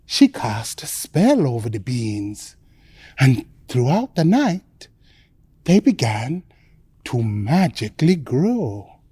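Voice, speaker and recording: A woman's voice: very deep voice, very animated, mellow, slightly rough, no room sound. Casting: female bedtime storyteller, theatrical and suspenseful, widely swooping intonation, close dry sound. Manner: dramatic, drawn-out phrasing with suspenseful pauses.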